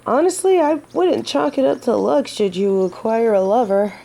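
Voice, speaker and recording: A woman's voice: fairly high pitch, normal speed, gravelly, warm tone. A woman playing a gallant, teasing yet earnest female knight in a romantic fantasy audio drama, with formal, old-fashioned phrasing. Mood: earnest, admiring.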